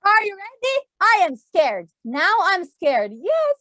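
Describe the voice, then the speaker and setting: A woman telling a story to a class of children in a theatrical, exaggerated way, repeating phrases as a teacher does. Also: very high-pitched. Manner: gasps for dramatic effect.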